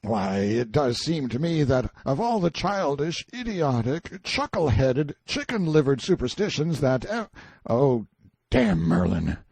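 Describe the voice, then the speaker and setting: A man's voice: medium pitch, sing-song, a little slow, a little mellow, breathy edge, no room sound, pitched above the performer's own voice, full character voice. A man playing a brash, self-assured man stranded in a medieval court, blunt, emphatic and colloquial, in an audiobook story. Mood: scornful.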